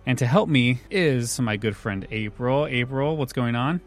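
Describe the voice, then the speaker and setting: Hoarse male podcast host. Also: medium pitch, unhurried. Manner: relaxed, informative, chuckles often. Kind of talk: casual podcast chat.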